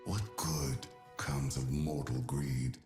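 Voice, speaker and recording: A man voicing an ancient, wise god guardian in a video game, speaking in a grave, measured, formal and patient way. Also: rumbling low, flat delivery, exaggerated voice. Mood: solemn, disdainful. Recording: echoing voice over music.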